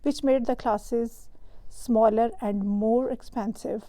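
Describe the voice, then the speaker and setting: A woman host from Pakistan, reading a prepared explainer in a measured, even way. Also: fairly high pitch, dry recording. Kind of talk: scripted explainer narration.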